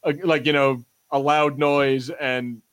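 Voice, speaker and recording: A man co-hosting a podcast, chatting casually in a halting, rambling way with repeated false starts. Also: mid-range voice, little pitch movement, fairly bright tone, clean tone. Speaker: podcast host. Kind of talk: casual pop-culture banter.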